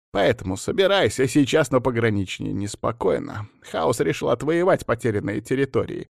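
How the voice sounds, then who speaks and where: Man voicing a stern old swamp witch, higher-pitched. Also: gravelly, dry recording, clean studio sound. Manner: commanding, forceful threats.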